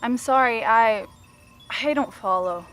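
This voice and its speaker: A woman's voice: on the high side, unhurried, fairly bright tone, faint music behind. An actress playing a hesitant, deferential young woman lab assistant in an audio drama.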